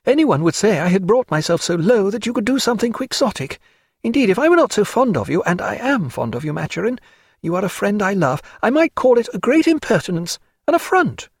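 Man voicing a high-pitched, proud, spirited gentlewoman. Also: very animated, a little fast, breathy edge, dry recording, clean studio sound, full character voice. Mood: wounded indignation. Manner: imperious, indignant, formal period diction. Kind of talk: dramatised audiobook character voice.

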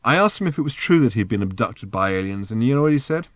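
A man voicing a brash, fast-talking roguish space traveller in an audiobook, breezy, bossy and sardonic. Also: mid-range voice, lively intonation, no room sound, close to an everyday voice. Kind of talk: acted audiobook dialogue.

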